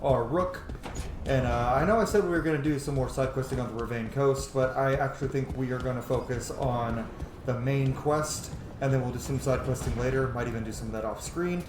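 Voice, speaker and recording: A man's voice: mid-range voice, brisk, background noise. Male gamer commentating in a roomy space. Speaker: gamer, video host. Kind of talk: casual game playthrough commentary. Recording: roomy echo, game music behind.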